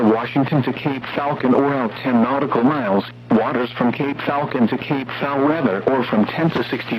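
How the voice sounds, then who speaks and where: An American man reads scripted warning bulletins in a formal, impersonal, synthetic-sounding voice of medium pitch. He sounds like an automated weather radio announcer. The broadcast is dull and lo-fi, with a faint layer of noise and hum.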